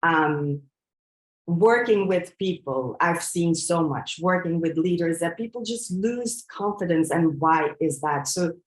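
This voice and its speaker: A woman in her forties from Belgium, a coach giving a presentation in an earnest, reflective way, often pausing on fillers and restarting her sentences. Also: on the low side, roomy sound, poor audio. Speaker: coach and trainer. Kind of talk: presentation to an audience.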